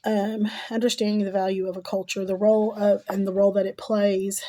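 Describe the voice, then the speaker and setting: A middle-aged woman who is ill and losing her voice answers course questions in a halting way, with many ums and restarts. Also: medium pitch, level tone, dark tone. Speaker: teacher and graduate student. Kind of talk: recorded class assignment answers.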